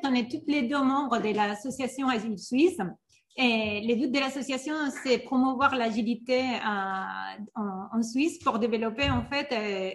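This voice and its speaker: A woman agile coach presenting an online workshop, with many hesitations and restarts. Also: on the high side.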